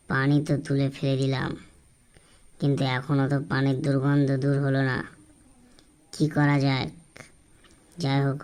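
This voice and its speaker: A woman's voice: extremely low pitch, darkish tone, smooth. A woman voicing a simple-minded, troubled village husband in an amateur cartoon, plaintive, puzzled and deferential. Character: simple-minded, troubled villager, a married man with a foul well.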